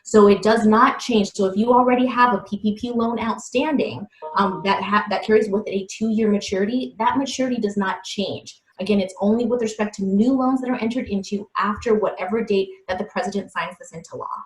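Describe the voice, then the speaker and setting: A woman heard over a video call from a room with a noticeable echo. She speaks precisely while dropping in casual fillers, and her pitch sits in the middle. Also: fairly quick, few pauses. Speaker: panelist, legal explainer. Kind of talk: webinar talk.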